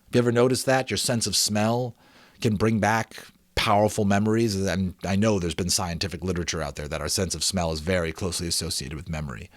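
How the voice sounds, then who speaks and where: A man narrating a storybook in a theatrical, expressive way. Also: low-pitched, fairly quick, no room sound, studio quality. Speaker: amateur audiobook narrator. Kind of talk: dramatic storybook narration with casual asides.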